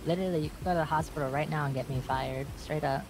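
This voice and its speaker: A man's voice: a little high, fairly even intonation, quick pace, background noise. A man roleplaying a laid-back character in a game, talking casually and often repeating himself. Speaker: roleplay player.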